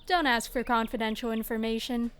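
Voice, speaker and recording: Woman playing a very high-voiced, oddly cheerful lab worker. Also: steady tone, brisk, fairly bright tone, a little hiss or hum, lightly acted. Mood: matter-of-fact. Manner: polite, formal, quirky. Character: odd, cheerful, secretive woman lab worker who relishes unpleasantness. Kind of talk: audio fiction podcast dialogue.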